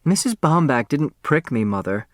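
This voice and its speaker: A man voicing a gentle young woman in an audiobook, speaking softly and evenly. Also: fairly high pitch, normal speed, no echo, clean studio sound, pitched above the performer's own voice. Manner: soft, even, polite. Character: gentle, even-tempered young bride-to-be, angelic daughter.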